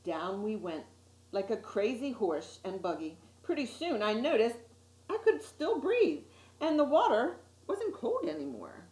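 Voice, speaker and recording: A woman reading a children's picture book aloud with dramatic, deliberate emphasis on key words. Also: fairly high pitch, sing-song, a little mellow, slight background noise. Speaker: storytime reader. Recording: roomy, echoey sound.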